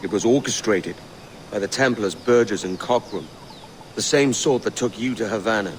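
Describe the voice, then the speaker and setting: An actor playing a roguish, dry-witted pirate captain in a video game, confident and sardonic. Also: male voice, lowish voice, moderate pace, slight background noise, lightly acted. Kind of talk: dramatic video game acting.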